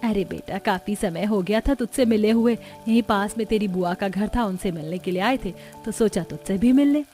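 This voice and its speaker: A woman's voice: medium pitch, normal speed, mellow, lightly acted. Woman playing a fussy older mother, music behind. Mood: affectionate. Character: fussy older visiting mother of a married daughter. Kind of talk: scripted cartoon dialogue.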